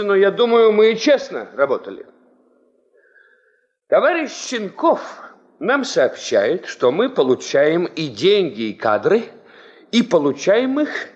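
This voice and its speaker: An actor playing a principled, skeptical mining engineer in a 1970s Soviet radio play, speaking in a measured, formal, resolute way. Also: male voice, high-pitched. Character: principled, skeptical male veteran mining engineer and party leader. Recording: dull, band-limited 1970s radio recording.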